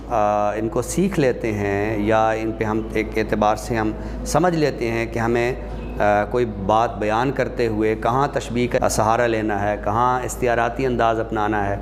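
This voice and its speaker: Man lecturing over noticeable background noise. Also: lowish voice. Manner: deliberate, pausing, poses and answers own questions. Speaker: lecturer teaching Urdu rhetoric. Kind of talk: explanatory class lecture.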